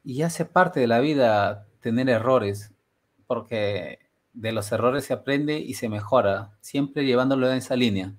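A man's voice: medium pitch, measured pace. A middle-aged man hosting a casual video-call interview on a headset, talking reflectively and slipping into Portuguese-flavored Spanish. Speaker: podcast host. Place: home studio room.

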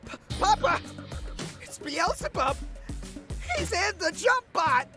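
Casting: skinny, jumpy bandit son in a video game, gravelly edge, wildly varied pitch. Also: male voice, big pitch swings, slow, over music, full character voice. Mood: panicked. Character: nervous, skinny bandit son, a gang henchman.

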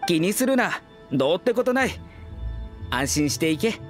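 A man's voice: sits high, sing-song, normal speed. A man playing a wistful, humble working man in a Japanese anime game, speaking earnestly and expressively. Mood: warm, resigned. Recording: studio voice over background music.

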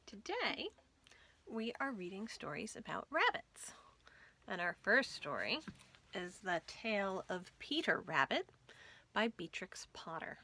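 A woman in her thirties reading a picture book aloud to children with expressive, dramatic emphasis. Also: mid-range voice, measured pace. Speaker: library storytime host. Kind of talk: children's storybook read-aloud. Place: small room lined with bookshelves, indoors.

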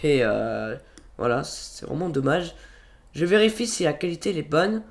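A young man with a cold talks through a home computer tutorial, pitched slightly high. He rambles, restarts his sentences and fills pauses constantly, recorded on a computer microphone. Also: big pitch swings, gravelly. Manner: rambling, many fillers and restarts. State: has a cold. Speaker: tutorial youtuber. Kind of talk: casual screen-recorded tutorial.

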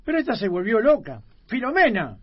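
A man's voice: very high voice, huge pitch swings, a little fast, muffled recording, background noise, full character voice. A man with a Rioplatense accent playing a jealous, hot-headed husband, slangy and agitated, in radio comedy. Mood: indignant. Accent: Rioplatense Argentine. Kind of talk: comedic radio theatre acting.

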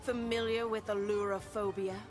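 A woman's voice: mid-range voice, flat delivery, normal speed, music in the background, close to an everyday voice. An actress playing a fierce, menacing cat woman villain in a video game, delivering taunts. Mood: predatory.

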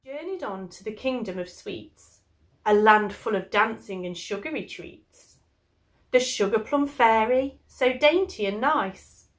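A woman's voice: medium pitch, a bit bright. A young woman on the school staff reading a storybook aloud to children at home. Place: home living room.

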